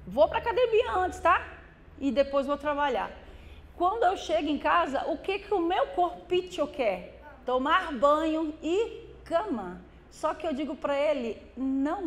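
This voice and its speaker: A middle-aged woman from Brazil with a Minas Gerais accent, preaching a church sermon and building to loud, fervent exhortation with drawn-out emphatic words. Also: high-pitched. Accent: Brazilian Portuguese from Minas Gerais. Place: church hall.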